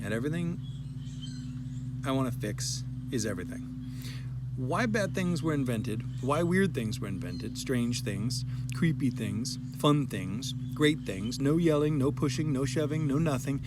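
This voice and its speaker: A man's voice: medium pitch, lively intonation, moderate pace, heavy background noise. A man giving a dramatic reading of a child's written complaint, with emphatic, plaintive delivery. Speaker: parent reading a child's story aloud.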